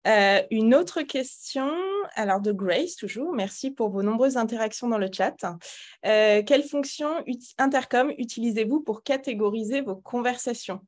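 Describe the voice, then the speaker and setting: A woman's voice: on the high side, normal speed. A woman moderating a workshop, hosting the question-and-answer session in a conversational way.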